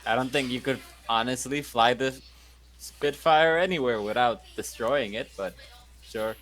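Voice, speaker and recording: A man's voice: mid-range voice, unhurried, a bit bright. A man playing a tabletop roleplaying game, switching between in-character talk and bossy, teasing banter.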